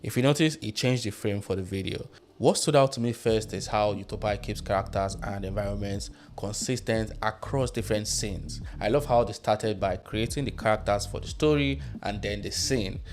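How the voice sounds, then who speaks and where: Low-voiced man hosting a casual tutorial. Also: moderate pace, a little hiss or hum. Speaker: tutorial host. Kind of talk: casual screen tutorial narration. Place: small room at home. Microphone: close studio microphone on boom arm. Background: music.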